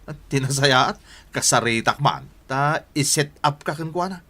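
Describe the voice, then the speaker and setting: A man's voice: mid-range voice, a little slow, sharp tone. A man acting an amused, teasing older brother playing matchmaker in a radio drama. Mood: encouraging.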